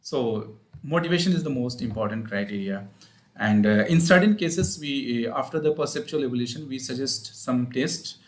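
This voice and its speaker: A man with an Indian accent giving an informal live explanatory talk as a speech therapist, in a halting, repetitive, teacherly manner. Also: mid-range voice, expressive. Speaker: speech-language pathologist hosting an advice livestream.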